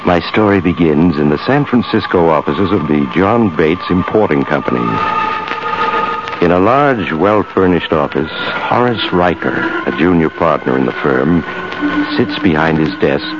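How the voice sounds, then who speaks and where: An actor playing an ominous, urbane storyteller who narrates a 1940s radio thriller in a deliberate, suavely sinister manner. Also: male voice, extremely low pitch, lively intonation, over loud music. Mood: measured, suspenseful. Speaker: host and narrator. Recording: muffled, very noisy old radio recording.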